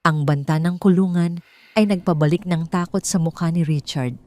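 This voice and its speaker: A woman's voice: on the low side, fairly even intonation, normal speed, warm tone. A woman storyteller reading a scripted dramatic narration.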